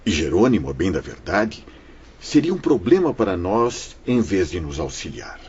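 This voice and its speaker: Actor as a deep-voiced, earnest spirit missionary. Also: male voice, sing-song, moderate pace, a little mellow, slightly rough, exaggerated voice. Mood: emotional, nostalgic. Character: kindly, earnest Portuguese male spirit missionary telling his rescue journey. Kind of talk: audio drama acting, storytelling. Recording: dull audio with background music.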